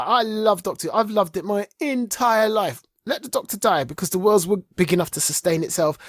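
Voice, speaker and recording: A podcast co-host chatting casually and emphatically about geek culture, with an animated, opinionated way of talking. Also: mid-range voice, no room sound, studio quality.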